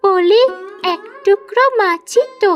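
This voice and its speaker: A kind young village daughter in a cartoon. The voice is extremely high and childlike, speaking slowly and sweetly over faint music. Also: female voice, dull audio. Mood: pleading. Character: kind-hearted little village girl, gently pleading daughter. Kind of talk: cartoon dialogue acting.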